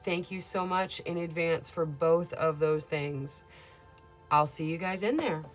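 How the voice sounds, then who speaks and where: A woman tarot reader with a scratchy voice, giving a calm, measured, soothing reading. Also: deep voice, soft background music. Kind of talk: gentle tarot reading monologue.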